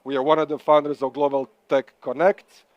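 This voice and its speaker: A man with a Croatian accent hosting a live startup pitching event, upbeat and encouraging. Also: little pitch movement, brisk, no room sound, dull audio. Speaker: host.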